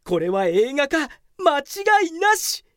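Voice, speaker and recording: An earnest male office worker in a comedic radio play. He is theatrical and self-absorbed, speaking high and unhurriedly with big swings of pitch. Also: big pitch swings, measured pace, no echo, studio quality. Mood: grandly elated. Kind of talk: comedic Japanese radio drama acting. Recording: voice over clear background music.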